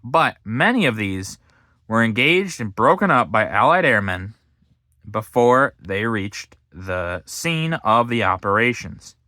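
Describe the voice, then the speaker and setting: Brief: man reading an old history book aloud, lively bright voice, halting with fillers, dry close mic. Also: on the low side, no room sound. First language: English. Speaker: history book reader and commentator.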